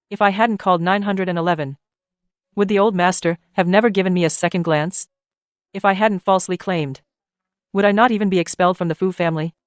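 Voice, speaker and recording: A woman's voice: lowish voice, clear, resonant tone, dry recording, natural voice. A woman voicing a bitter cornered schemer. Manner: pleading, bitter and self-pitying. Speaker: scheming woman faking a pregnancy. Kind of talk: dubbed short drama acting.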